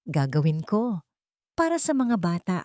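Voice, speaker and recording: A woman storyteller voicing a wary, hesitant woman in an audio love story, disbelieving and hushed. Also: fairly low pitch, very animated, unhurried, no echo, studio quality. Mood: hushed, resigned. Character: stunned, wary woman reluctantly agreeing to marriage.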